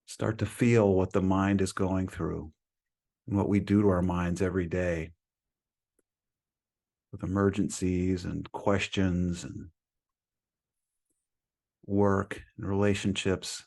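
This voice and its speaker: A man leading a guided meditation as a teacher, speaking in a calm, soothing manner with long pauses between phrases. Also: low-pitched, gravelly.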